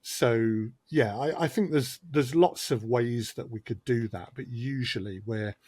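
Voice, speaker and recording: Guy on a podcast, kinda husky, dry close sound, talks it through carefully with lots of restarts. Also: medium pitch, unhurried, darkish tone, no room sound. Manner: thoughtful, explains step by step with many restarts. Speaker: guest, audio drama producer. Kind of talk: casual podcast conversation.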